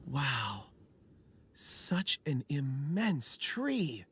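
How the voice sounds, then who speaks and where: A man's voice: medium pitch, big pitch swings, very slow, background noise. An actor playing a reserved, thoughtful young man in a video game, polite and a little hesitant. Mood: pensive. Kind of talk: scripted video game character acting.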